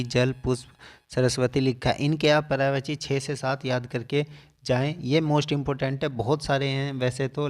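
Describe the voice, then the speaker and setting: A man teaching an exam syllabus point by point, repeating key items for emphasis. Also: mid-range voice. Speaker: coaching class teacher. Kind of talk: exam-syllabus lesson, listing topics point by point.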